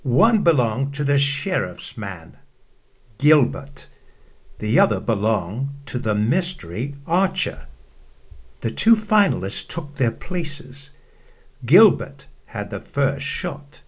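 A male narrator reads a children's bedtime story at an unhurried pace. His voice has a husky grain and moves up and down with a lively storyteller's lilt. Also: mid-range voice. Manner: slow, expressive storybook reading with acted-out quotes.

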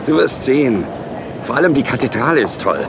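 Chummy, animated stepdad type. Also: male voice, medium pitch, brisk. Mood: cheerfully encouraging. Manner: hearty, chummy, encouraging. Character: jovial bearded dentist, mother's new boyfriend. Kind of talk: audio drama acting. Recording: clear vehicle and station noise.

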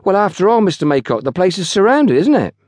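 A man's voice: sits high, big pitch swings, quick pace, a little mellow, no room sound, voice pushed up. A man voicing a young, self-important, sulky railway porter with a colloquial, gossipy manner in a narrated story. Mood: important, surprised.

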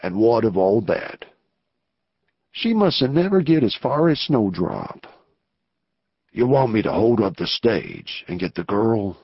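A man voicing a gruff, scheming male outlaw leader in a slow frontier drawl for an audiobook. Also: fairly low pitch, big pitch swings, dry recording, voice pushed down, full character voice. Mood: wary drawl. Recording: dull lo-fi audio.

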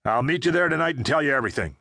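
A man doing a gruff ex-prisoner barking orders. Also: fairly high pitch, lively intonation, very fast, bright, forceful tone, no echo, clean studio sound, pitched above the performer's own voice, exaggerated voice. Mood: commanding. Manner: barking terse commands. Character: agitated man, a Vietnam ex-POW, urgent.

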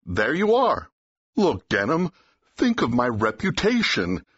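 An actor playing a cautious, exasperated talent agent in an audiobook drama, with an uneasy, reasoning manner. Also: male voice, medium pitch, huge pitch swings, unhurried, a bit bright, breathy edge, dry recording, lo-fi sound, full character voice. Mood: protesting. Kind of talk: full-cast audiobook acting, period dialogue.

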